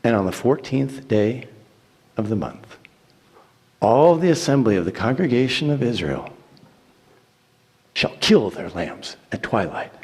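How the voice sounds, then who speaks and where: Slow, dramatic man reading scripture, echoing hall. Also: medium pitch, very animated, darkish tone, husky. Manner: solemn, declamatory, deliberate phrasing with pauses. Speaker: church reader reciting scripture. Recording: echoey hall with long reverb.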